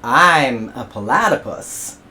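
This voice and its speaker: Woman voicing a grumpy storybook platypus, blunt, loud, tired of questions. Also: a bass voice, sing-song, normal speed, heavily acted. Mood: fed up. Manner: exasperated, emphatic, raised voice. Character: testy egg-laying platypus, blunt and fed up.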